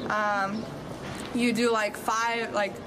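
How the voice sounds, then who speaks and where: A woman's voice: on the high side, level tone, takes it slowly, crowd noise. A woman answering in an old interview clip, chatty and full of filler words.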